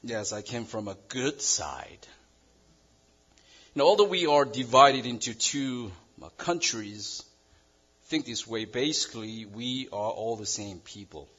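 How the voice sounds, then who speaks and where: Slow, husky Korean-accented male pastor. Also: medium pitch, lively intonation, fairly bright tone. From South Korea. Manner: earnest, measured, halting restarts. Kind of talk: sermon. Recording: dull lo-fi sound.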